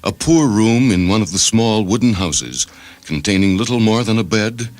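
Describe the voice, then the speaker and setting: A man reading literary fiction as narrator in a measured, scripted style. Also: lowish voice, lively intonation, a little mellow, no room sound. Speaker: narrator, story reader. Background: faint background music.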